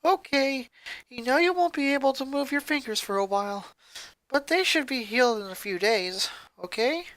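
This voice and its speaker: A woman's voice: high-pitched, lively intonation, normal speed, fairly bright tone, dry recording, pitched above the performer's own voice. A woman voicing a caring, worried mother in a story reading. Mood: soothing. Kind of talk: fan fiction character voice.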